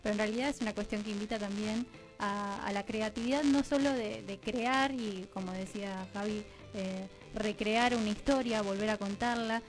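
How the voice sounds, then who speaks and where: A woman's voice: mid-range voice, fairly even intonation, moderate pace, soft background music, low-quality recording. A woman with an Argentine Rioplatense accent speaking as a radio interview guest, explaining conversationally in long, chained sentences as she thinks aloud. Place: radio studio.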